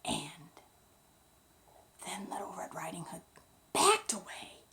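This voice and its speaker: A woman narrating a bedtime story in a dramatic, expressive, unhurried way. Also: fairly low pitch, whispered.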